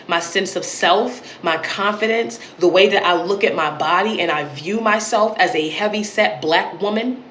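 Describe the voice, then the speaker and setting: A woman with a deep voice, talking straight to camera in a blunt, emphatic monologue with plenty of swearing. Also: a bit bright, slight background noise. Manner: blunt, emphatic and profane, with repeated punchy phrases. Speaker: online creator and educator. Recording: roomy echo.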